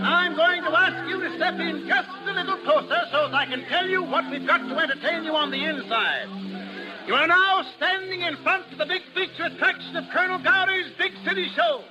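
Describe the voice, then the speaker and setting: A man's voice: very high-pitched, moderate pace, a crowd in the background, rough recording. A man playing a brash, good-natured American carnival barker, calling out folksy sideshow patter in 1930s radio style. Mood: hearty pitching. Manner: declamatory, folksy sales patter. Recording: old 1930s radio recording with music.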